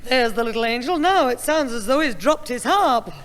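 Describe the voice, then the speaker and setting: A woman acting a brisk, scolding mother with dry wit in a 1960s radio sitcom. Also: fairly high pitch, expressive, natural voice. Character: stern, dry-witted mother. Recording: old radio recording with noise.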